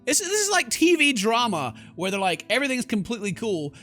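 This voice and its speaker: A man hosting a gaming video with a bright, forceful voice that swings widely. He comments casually and mockingly, with faint music behind him. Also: extremely high pitch, sing-song, fairly quick. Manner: mocking, jokey, mimics characters. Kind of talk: casual reactive gameplay commentary.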